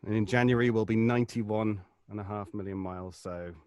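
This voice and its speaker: A man chatting on a live podcast in a precise, gently pedantic way. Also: fairly low pitch, fairly even intonation, a little fast. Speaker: voice actor guest on a live podcast. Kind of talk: casual group chat.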